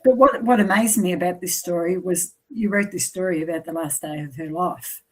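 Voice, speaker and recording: Husky-voiced woman host, affirming. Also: fairly low pitch, brisk. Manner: warm affirming, frequent yeahs. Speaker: podcast host. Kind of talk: casual interview chat.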